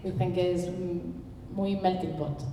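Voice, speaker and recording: A woman hosts a seminar and introduces the guests in a loose, rambling way full of fillers. Her voice sits low. She is picked up from far off in a very reverberant hall, with noise in the background. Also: fairly even intonation, takes it slowly, warm tone. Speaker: seminar host. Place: seminar room. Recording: distant mic in an echoey hall, background noise.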